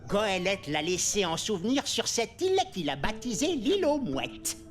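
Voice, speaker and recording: A woman voicing an excitable, timid pirate crewmate in a French children's cartoon, with a theatrical, exclamatory delivery. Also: mid-range voice, moderate pace, over music, lightly acted. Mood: storytelling. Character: Roberto, excitable timid pirate crewmate proud of his ancestor.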